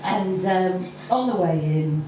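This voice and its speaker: A woman doing stand-up, telling a story slowly with many ums and pauses. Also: on the low side, mellow, highs cut off, a little hiss or hum. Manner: hesitant, lots of ums, slow deliberate delivery. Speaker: stand-up comedian. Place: comedy venue with audience laughter. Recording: echoey far-off live room recording.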